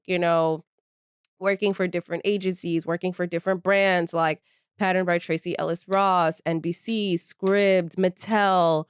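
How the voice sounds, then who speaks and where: A woman of thirty, talking straight to her viewers from her bedroom. Her voice sits low and comes through smooth and clear, close and dry on a desk mic. She is chatty and candid and drops the odd swear word. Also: dry recording. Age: 30s. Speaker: channel host. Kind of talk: casual vlog talk to viewers. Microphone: desk microphone.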